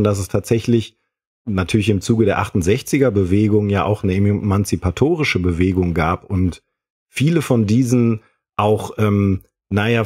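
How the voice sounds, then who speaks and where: A man's voice: on the low side, darkish tone, breathy edge. A man in a reflective, casual podcast discussion, phrasing his points in a hesitant, roundabout way. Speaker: podcast panelist.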